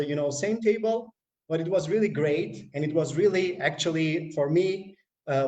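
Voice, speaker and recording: A man's voice: on the high side, a little mellow, reverberant, far from the mic, poor audio. A man speaking as a community manager in a live-stream conversation, with many fillers and restarts. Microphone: video call.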